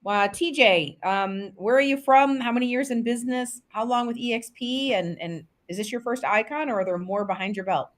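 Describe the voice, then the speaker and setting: A woman's voice: a little high, fairly quick, hardly any pauses. A middle-aged woman moderating an online panel, chatting casually with lots of ums and friendly asides. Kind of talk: hosting a virtual panel.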